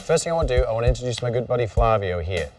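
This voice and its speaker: A man's voice: mid-range voice, lively intonation, racing, darkish tone, slight background noise. A man leading a motorcycle group tour, giving a direct, encouraging briefing to his riders. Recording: distant mic, faint music behind.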